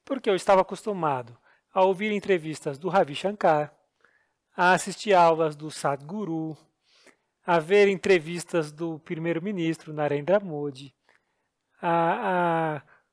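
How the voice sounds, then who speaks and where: Brazilian man, podcast co-host, high-pitched, earnest self-deprecating storyteller. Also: a little slow, long pauses. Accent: Brazilian Portuguese. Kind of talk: casual storytelling chat.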